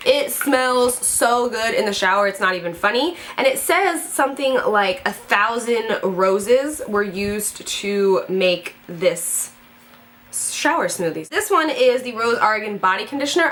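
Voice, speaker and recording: A woman's voice: medium pitch, a bit bright, a little hiss or hum. A young woman in her twenties chatting casually as a beauty vlogger, gushing and bubbly, a little congested from a head cold. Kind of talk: casual chat to camera.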